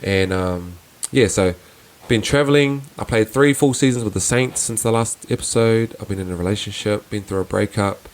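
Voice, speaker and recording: Soft-voiced young man, earnest and low. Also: moderate pace, few pauses. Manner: earnest, reflective, with frequent restarts and fillers. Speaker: podcast host. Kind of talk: casual reflective solo podcast.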